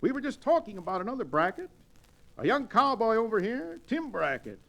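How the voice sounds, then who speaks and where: An actor playing a pompous, blustery, hard-drinking cowboy sidekick in florid words for an old Western radio drama. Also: male voice, sits high, big pitch swings, a little fast, vocal fry, pushed and bright, full character voice. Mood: genial, boastful.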